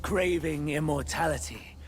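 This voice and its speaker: An actor playing a humble, solemn monster disciple in a video game, reciting archaic rhymes theatrically. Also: male voice, a little high, measured pace, natural voice. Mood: grave, fervent. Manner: theatrical, archaic rhyming delivery. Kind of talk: dramatic video game acting. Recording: loud music over the voice.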